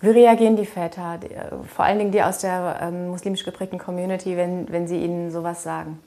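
A woman's voice: lowish voice, fairly even intonation, fast talker, dark tone. A woman asking interview questions and giving short murmured acknowledgments. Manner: brief questions, many backchannel murmurs.

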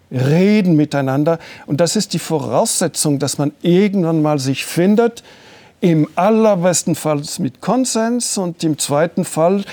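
An older man whose native language is French, giving measured, deliberate answers as an interview guest and slowing down to stress his points. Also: fairly high pitch, darkish tone, dry recording. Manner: measured, deliberate, slows down for emphasis.

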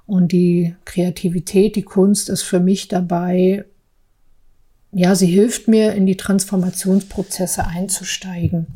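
A woman gives a personal account in a measured, deliberate way. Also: on the low side, little pitch movement, mellow, husky. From Berlin, East Germany. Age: 40s. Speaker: artist telling her own story. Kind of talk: personal account for a podcast, partly read aloud.

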